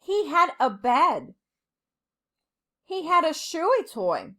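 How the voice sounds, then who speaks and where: Female storybook reader for young children; high, very animated, deliberate with dramatic pauses. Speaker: teacher reading a storybook to children. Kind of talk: expressive children's storybook read-aloud.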